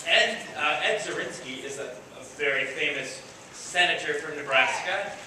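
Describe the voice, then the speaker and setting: A man's voice: a little high, normal speed. A man acting as director and narrator of a children's school play, announcing informally and coaching the children with playful encouragement. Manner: encouraging, playful, gives simple step-by-step instructions to children. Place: large echoey room before an audience. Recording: echoey hall from far off, clear background noise.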